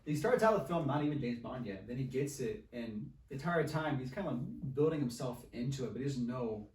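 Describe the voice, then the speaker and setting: A man's voice: mid-range voice, animated, fairly quick, a little mellow. A man hosting a podcast, chatting casually about films. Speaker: podcast host. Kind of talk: casual film discussion. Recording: roomy, distant mic, faint noise.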